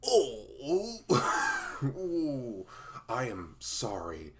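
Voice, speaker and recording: A man playing a dorky, smitten young best friend in an intimate ASMR roleplay, speaking in a halting, awkward, rambling way. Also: mid-range voice, huge pitch swings, a bit bright, slight background noise, exaggerated voice. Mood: flustered, giddy.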